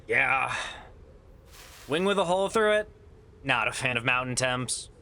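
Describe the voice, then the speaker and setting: A man's voice: medium pitch, lively intonation, takes it slowly, sharp tone, slight background noise, heavily acted. A man playing a weary, loyal adventurer in an audio drama, talking in a casual, sardonic way. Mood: weary, wry. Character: wry, weary male adventurer, loyal old friend. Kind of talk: naturalistic audio drama acting.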